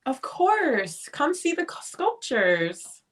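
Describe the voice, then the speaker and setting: A woman's voice: sits high, sing-song, slow, a bit bright, exaggerated voice. A woman playing an aloof, sardonic bohemian artist in a dramatic play reading. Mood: wry.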